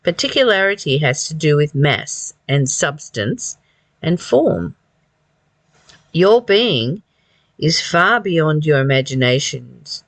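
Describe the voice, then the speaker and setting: A person reading a spiritual text aloud and commenting on it informally, in a reflective, halting way that often repeats phrases. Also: mid-range voice, a bit bright, no room sound. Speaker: reader and commentator of a spiritual study.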